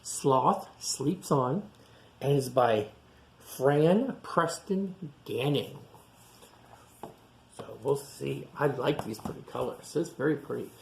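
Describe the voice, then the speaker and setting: A man reading a picture book aloud to young children, pausing for simple explanations and questions. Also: mid-range voice, animated, gravelly. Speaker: storytime reader for young children. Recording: echoey room sound.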